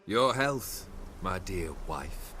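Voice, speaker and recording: A proud, gallant nobleman husband from a fantasy game. His courtly voice is lowish with animated intonation, and noise is clear behind it. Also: very slow, exaggerated voice. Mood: warm, playful. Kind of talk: dramatic video game acting.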